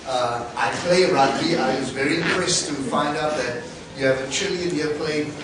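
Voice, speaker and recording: A South African man in his forties speaks to a class in an encouraging, chatty way, with a fairly high voice and laughs between his points. He sounds far from the mic in a very echoey room, with clear background noise. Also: moderate pace. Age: 40s. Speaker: guest speaker and English teacher. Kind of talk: classroom talk. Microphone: distant camera mic.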